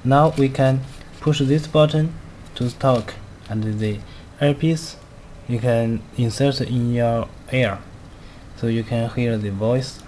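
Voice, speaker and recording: Halting non-native man demonstrating over noise. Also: medium pitch, a little mellow. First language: not a native English speaker. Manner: halting, simple clipped phrasing. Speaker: product demonstrator. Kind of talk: step-by-step spoken product demonstration. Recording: clearly noisy amateur recording.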